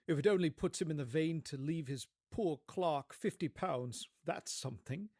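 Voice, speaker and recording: A man voicing a cheerful, good-humored young gentleman who talks exuberantly, in a dramatic reading of a Victorian story. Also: a little high, normal speed, no echo, studio quality, voice pushed up, close to an everyday voice. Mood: good-natured, animated.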